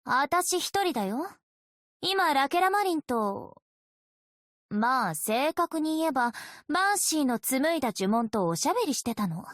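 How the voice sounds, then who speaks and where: A woman's voice: high-pitched, very animated, unhurried, a bit bright, dry recording, clean studio sound, heavily acted. A woman voicing a coy, playful young female vampire in an anime-style game, with casually threatening undertones. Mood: playful, nonchalant. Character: teasing, sinister young female vampire, sweetly menacing. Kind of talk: game character voice lines in Japanese anime acting.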